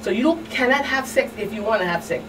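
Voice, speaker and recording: A woman's voice: on the high side, lively intonation, fast talker. A woman with a Caribbean accent answering as a talk show guest, animatedly retelling exchanges and repeating phrases. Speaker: guest expert, community outreach specialist. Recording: distant mic, clear background noise.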